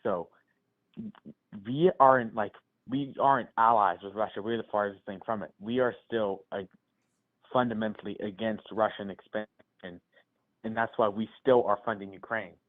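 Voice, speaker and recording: A male caller with a quiet, low voice, arguing hesitantly with many restarts on a live call-in show. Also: fairly even intonation, normal speed. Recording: tinny phone line, drifting off-mic.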